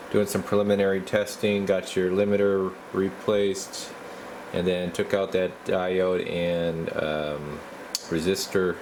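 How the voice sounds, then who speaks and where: A man giving a casual, folksy, slangy repair walkthrough as a radio technician. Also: low-pitched. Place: indoor radio repair workshop. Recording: steady clear background noise.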